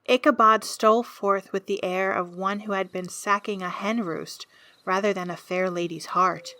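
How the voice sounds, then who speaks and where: A woman giving measured, scripted literary narration for an audio drama. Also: medium pitch, steady tone, sharp tone. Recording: clearly noisy background with clicks.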